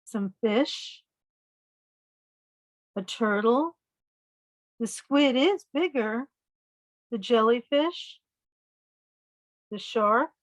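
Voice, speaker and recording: A woman storyteller reads aloud for young children at an unhurried pace. Her voice sits fairly high, and she often turns to the listener with a simple question. The recording is dry, with little room sound. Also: lively intonation, no echo. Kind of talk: children's picture-book read-aloud.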